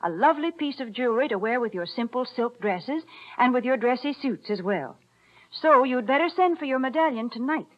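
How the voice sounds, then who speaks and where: A woman's voice: high-pitched, lively intonation, brisk. A woman co-hosting as sponsor's spokeswoman with prim, polished, chatty 1940s radio patter. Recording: muffled old radio broadcast.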